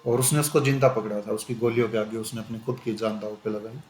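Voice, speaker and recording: A man teaches an exam-prep class in a relaxed, conversational way, drifting into slow, deliberate English phrases. His voice carries a noticeable room echo, with a faint hum of ambient noise behind it. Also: lowish voice. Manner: conversational, repeats "ठीक है" often, switches into slow English phrases. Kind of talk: exam-prep lecture mixing Hindi and English.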